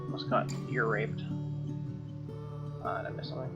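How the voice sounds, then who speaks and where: A man's voice: mid-range voice, fairly even intonation, moderate pace. A young man reading game text aloud as a let's play host, with irreverent, slangy commentary. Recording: dull lo-fi mic, music behind. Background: game music with guitar.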